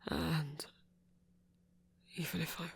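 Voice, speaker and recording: A man performs a flirty yet wistful vampire for an ASMR audio story. The voice is very husky and breathy, often sinking to a whisper. He speaks slowly and hesitantly, with creaky fry at the edges and a teasing softness. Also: on the high side, little pitch movement, heavily acted. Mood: wistful, anxious. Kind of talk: intimate ASMR audio roleplay.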